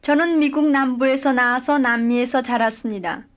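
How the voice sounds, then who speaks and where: A woman's voice: high-pitched, fairly even intonation, normal speed. A woman speaking full model sentences in a language drill, each one carefully and evenly delivered. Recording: dull lo-fi recording.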